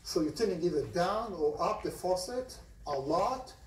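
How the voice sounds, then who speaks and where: A man's voice: sits high, animated, moderate pace. A man with an Israeli accent giving a university lecture to a large class, talking conversationally and often putting questions to the students. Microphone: phone recording from the audience seats. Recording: distant, roomy lecture hall recording.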